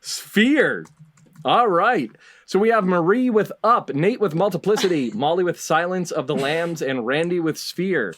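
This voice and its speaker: Slow, mock-ceremonial male game show host. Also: high-pitched, animated, fairly bright tone. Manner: deliberate, mock-ceremonial delivery with dramatic pauses. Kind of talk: hosting a comedy panel game.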